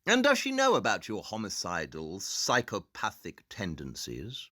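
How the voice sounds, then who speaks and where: Guy doing a snooty sleuth in an audio play, forceful edge, swoops around a lot, picky and precise. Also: fairly low pitch, huge pitch swings, a little slow, dry recording, clean studio sound, full character voice. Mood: cold, accusing. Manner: precise, clipped, pedantic interrogation. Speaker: actor in an audio drama.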